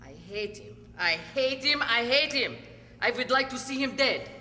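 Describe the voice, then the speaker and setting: A woman's voice: high-pitched, expressive, moderate pace, pushed and bright. A woman in a radio play acting a cold, bitter, secretive former actress with a theatrical, haughty delivery. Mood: bitter, venomous. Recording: echoey hall, noisy hum.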